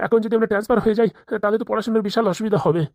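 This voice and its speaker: A woman's voice: mid-range voice, steady tone, very fast, darkish tone, no echo, studio quality, natural voice. A woman voicing a flustered, grovelling underling officer in a comedy cartoon, stammering and eager to please. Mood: nervous, pleading. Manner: stammering, obsequious. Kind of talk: Bengali comedy cartoon dialogue.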